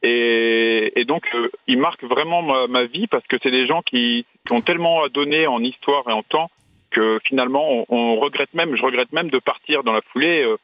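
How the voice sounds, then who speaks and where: A man from Brittany speaks as the guest of a radio interview, recounting his travels. He tells anecdotes with animation, often restarting as he searches for words. His mid-pitched voice sits in a dry, slightly dull recording. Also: normal speed, no echo. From Brittany, France.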